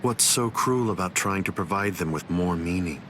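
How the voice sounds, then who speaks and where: An actor playing a calm, menacing villain swordsman in an anime video game, speaking in a measured, formal, condescending way. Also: low voice, noisy background, lightly acted. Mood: cold, lecturing. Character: calm, menacing male villain swordsman, coldly superior schemer. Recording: loud music behind the voice. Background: music.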